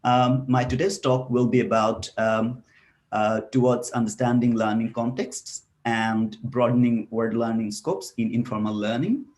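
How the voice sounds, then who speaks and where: A man's voice: medium pitch, fairly even intonation, a little slow, darkish tone. A young man from Bangladesh giving an academic webinar talk over a video call, hesitant with many filler sounds. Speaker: webinar presenter, researcher. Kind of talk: academic presentation. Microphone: computer mic on a video call.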